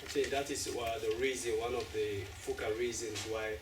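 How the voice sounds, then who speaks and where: A man's voice: medium pitch, fairly even intonation, fairly quick. A man, the director of a stage play, leading the audience question and answer in an upbeat, inviting way. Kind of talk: post-show question and answer. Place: college theater hall. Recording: echoey hall, far from the mic, loud noise.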